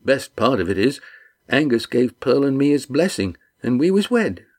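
A man's voice: mid-range voice, big pitch swings, moderate pace, voice pushed up. A man voicing a big, hearty red-haired fisherman skipper with boisterous, folksy old-fashioned speech.

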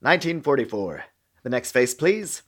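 Fussy, officious American bureaucrat. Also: male voice, medium pitch, very animated, normal speed, a bit bright. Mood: businesslike, pressing. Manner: officious, chatty, digressive. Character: fussy, dry middle-aged male American intelligence bureaucrat. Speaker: actor in audio drama. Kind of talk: radio play acting.

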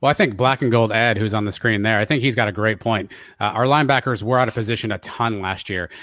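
Male sports podcast analyst, American, raspy, brisk delivery, dry close sound. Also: on the low side, fairly bright tone, no room sound. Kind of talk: casual sports talk analysis.

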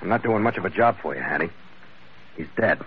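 An actor playing a tough, wry sailor and bodyguard in an old radio drama, terse and matter-of-fact. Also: male voice, deep voice, lively intonation, brisk, slight background noise. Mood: urgent. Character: tough, wry Irish-American sailor hired as bodyguard, narrating. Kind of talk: 1940s-50s radio drama acting, hard-boiled narration. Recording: dull vintage radio recording.

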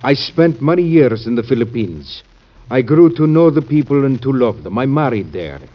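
Vintage radio play, man as grave gentleman pleading his case; formal, medium pitch, muffled sound. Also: expressive, lightly acted. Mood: earnest pleading. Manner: formal, deliberate, polite. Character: earnest, secretive Spanish gentleman, grave and pleading visitor. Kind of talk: old-time radio drama acting.

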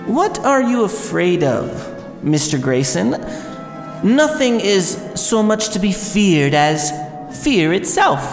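A man playing an intense, arrogant psychology professor obsessed with fear, lecturing theatrically with deliberate emphasis in an audio drama. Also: sits high, big pitch swings, heavily acted. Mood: smug, menacing. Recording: roomy hall reverb, music underneath.

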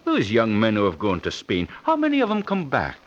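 An actor playing a fussy, calculating, cowardly suitor, pedantic and theatrical in 1950s radio style. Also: male voice, fairly low pitch, huge pitch swings. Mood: dismissive. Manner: pedantic, deliberate, comically theatrical. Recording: muffled vintage radio, faint noise.

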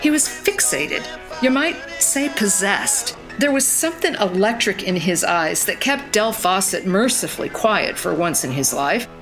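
A woman's voice: medium pitch, lively intonation, measured pace, rough recording. An actress playing a reflective woman who narrates memories of her youth in an audio drama, wistful and storytelling. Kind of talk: scripted first-person drama narration. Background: music.

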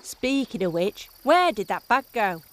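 An actress playing a brusque, self-interested heiress in a fantasy audio drama, offhand and dry. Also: female voice, a little high, very animated, measured pace. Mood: dry, casual.

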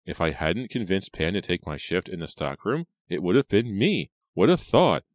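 A man's voice: low voice, animated, normal speed, no room sound, pitched above the performer's own voice. A man voicing a spoiled, irritated, self-centered rich teenage girl in an audiobook.

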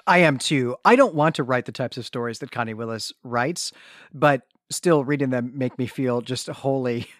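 A man's voice: medium pitch, normal speed, a bit bright, dry recording, studio quality. A man speaking as a podcast co-host, giving an articulate, enthusiastic and conversational recap and discussion.

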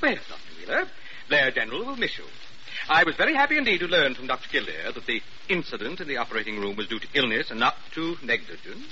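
An actor playing a pompous, fussy hospital chief in an old radio drama, formal and emphatic, handing out grand praise. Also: male voice, mid-range voice, big pitch swings, fast talker, natural voice. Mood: pompous approval. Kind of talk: 1940s-50s American radio drama acting. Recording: muffled, faintly noisy old radio recording.

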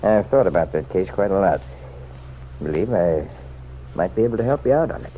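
Deep-voiced, insinuating small-town busybody. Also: male voice, animated, fairly quick, mellow. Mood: insistent. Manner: folksy, chummy, leaning and persuasive. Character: insistent, insinuating townsman, nosy self-appointed helper to the sheriff. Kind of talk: old-time radio drama acting. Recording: muffled, noisy vintage radio recording.

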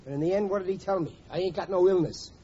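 Guy acting a grouchy cheapskate husband around forty, blustery and slangy, highish voice, dull old radio comedy recording. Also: racing, warm tone, a little hiss or hum, lightly acted. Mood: indignant. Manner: blustery, slangy, indignant working-class talk. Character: grumbling, stingy working-class husband pushing forty.

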